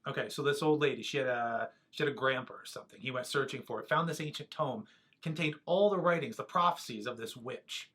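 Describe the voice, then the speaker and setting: Glib male hustler, quick-talking, casual, pitching his documentary for cash. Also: a bit bright, husky. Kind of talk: film dialogue.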